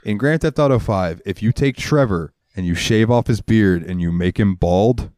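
A man's voice: fairly low pitch, normal speed, a little mellow, no room sound. A man co-hosting a podcast, telling rambling stories in casual chat and swearing freely. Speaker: podcast co-host. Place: US South, central Florida.

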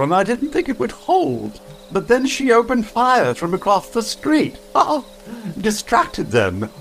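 Devoted, melodramatic robot butler in audio drama; high, measured delivery, music faintly behind. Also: male voice, heavily acted, low-quality recording. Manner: formal, fussy, melodramatic. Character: prim, devoted male robot butler, theatrically fretful.

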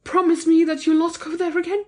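Jealous storybook mother, played by a woman narrator: very high voice, tragic, demanding, theatrical. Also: level tone, a little fast, a little mellow. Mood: fierce, demanding. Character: jealous, possessive, melodramatic middle-aged mother. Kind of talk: dramatised audiobook reading of old-fashioned fiction.

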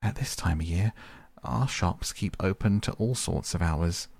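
A man's voice: sits low, a little slow, gravelly, darkish tone, slightly rough, no room sound, heavily acted. A man voicing a respectful young male field mouse who answers politely.

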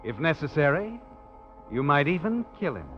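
Ominous, high-pitched male suspense narrator. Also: big pitch swings, normal speed. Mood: sinister, insinuating. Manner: insinuating, theatrical. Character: ominous, taunting male narrator addressing the protagonist. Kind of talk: 1940s radio suspense narration. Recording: muffled old radio recording, music behind. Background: dramatic music.

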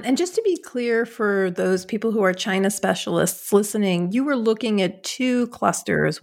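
A woman hosting an interview, asking follow-up questions and praising the guest's book with enthusiasm. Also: medium pitch. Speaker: podcast host.

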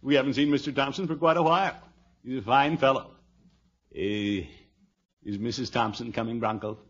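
Jovial, bluff uncle, muffled old radio. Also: male voice, mid-range voice, normal speed, fairly bright tone, husky. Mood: wry. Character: jovial, bluff middle-aged uncle, head of household. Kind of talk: 1950s radio comedy acting. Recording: muffled, noisy vintage radio recording.

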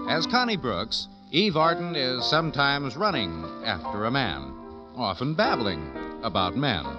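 A man's voice: mid-range voice, low-quality recording. An American man working as a radio announcer, reading scripted credits and promos in a polished mid-century broadcast style.

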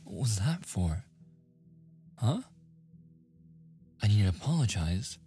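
A man playing a flustered, submissive young boyfriend in a soft-spoken ASMR roleplay, stammering and sighing, often slipping into whispers. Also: fairly low pitch, sing-song, takes it slowly, faint music behind, exaggerated voice. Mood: pleading, flustered. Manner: stammering, sighing, breathy asides, protesting. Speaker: audio roleplay voice performer.

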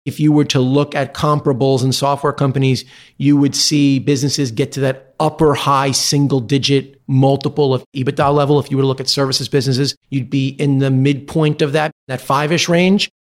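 Man explaining business in measured terms. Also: medium pitch, little pitch movement. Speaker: private equity executive, interview guest. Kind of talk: business interview, explanatory answers.

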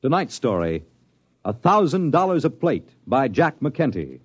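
A man's voice: medium pitch, big pitch swings, unhurried. A man announcing a show in dramatic 1950s radio style, grand and theatrical. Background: loud music.

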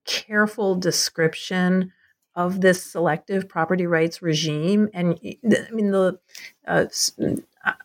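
Woman host, engaged, interviewing an author, slightly low voice, occasional stumbles. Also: steady tone, a little slow. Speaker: podcast host.

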